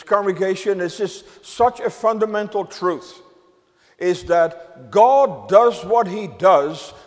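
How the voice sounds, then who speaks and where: An older man from the Netherlands preaching a sermon to a congregation, measured, with emphatic rises on key words. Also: high voice, a little mellow, big-room sound. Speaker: pastor.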